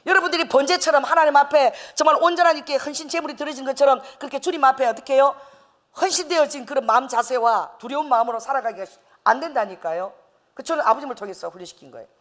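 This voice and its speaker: Woman pastor, expressive preacher with a swinging, lively pitch and a storyteller's laugh. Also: fast. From Busan, South Korea. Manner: animated storytelling, laughing often. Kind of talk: sermon.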